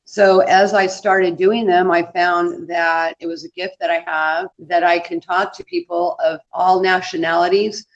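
A woman's voice: on the low side, level tone, unhurried, few pauses. A woman speaking as a tour guide in a casual interview, conversational and full of small anecdotes. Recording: dull lo-fi audio.

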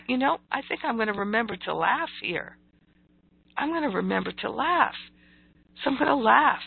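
Female motivational spiritual teacher, very unhurried and emphatic, croaky voice, thin telephone-quality sound. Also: medium pitch. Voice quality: croaky, gravelly. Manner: deliberate, emphatic, repeats phrases. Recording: thin, phone-like audio.

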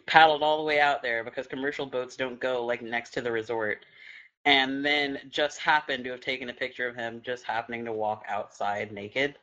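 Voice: mid-range voice, steady tone, normal speed, bright, forceful tone, lo-fi sound. A podcast co-host speaking bluntly, teasing the others and swearing. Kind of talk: casual chat.

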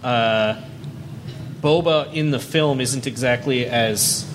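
A man moderating a live convention Q&A panel, easygoing and halting, with many fillers. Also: medium pitch. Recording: noisy live panel hall.

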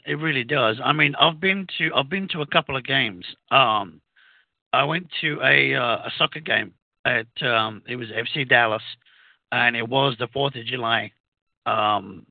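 A man's voice: mid-range voice, creaky, no room sound. Radio co-host, male, raised abroad; hesitant, filler-heavy chat over a narrow dry line. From outside the US. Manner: halting, many fillers and restarts. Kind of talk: casual radio talk. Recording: phone-like narrow sound, dry.

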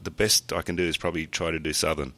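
A man's voice: very deep voice, little pitch movement, fast talker, sharp tone, no room sound. A man with an Australian accent, co-hosting a casual podcast chat in a laid-back, jokey way. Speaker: podcast co-host.

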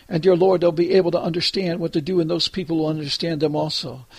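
Older man, gravelly and husky, praying aloud in a halting, repetitive cadence; dry close sound. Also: fairly high pitch, flat delivery, no echo. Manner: repeats "dear Lord" between phrases; halting, with restarts and fillers. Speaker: prayer leader. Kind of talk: extemporaneous spoken prayer.

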